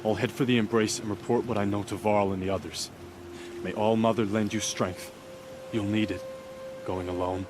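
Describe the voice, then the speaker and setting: Man acting a grave, low-voiced warrior, noisy background. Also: fairly even intonation, moderate pace, lightly acted. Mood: solemn, earnest. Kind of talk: dramatic video game acting. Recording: game scene with noisy outdoor ambience.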